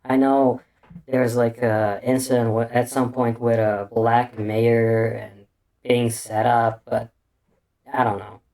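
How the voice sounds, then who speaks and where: A man with an unusual, hard-to-place accent delivers a meandering solo commentary. He talks slowly, swears freely and keeps restarting his sentences. His pitch sits in an ordinary middle range. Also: little pitch movement, a little mellow. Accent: unusual non-native English accent. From Bulgaria. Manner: meandering, crude, full of restarts and fillers. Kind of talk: casual rambling commentary monologue.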